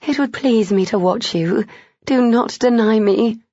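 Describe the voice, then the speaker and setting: A woman's voice: fairly high pitch, a little slow, warm tone, dry recording, lo-fi sound, voice pushed up. Woman voicing an eager, pleading young bride. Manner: breathy, pleading, insistent.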